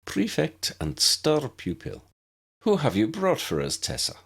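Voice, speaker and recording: A suave Italian professor, voiced by a man reading a storybook, theatrical and courteous. His voice swoops widely and has a gravelly grain, with playful, gallant charm. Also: fairly high pitch, wildly swooping pitch, measured pace, voice pushed up, full character voice. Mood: welcoming. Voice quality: warm, smooth, musical.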